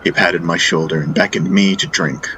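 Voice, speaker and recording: A man reading a first-person fantasy audiobook as narrator, in a solemn, deliberate, dramatic style. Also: deep voice, fairly even intonation, pushed and bright, slight background noise, poor audio. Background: faint music.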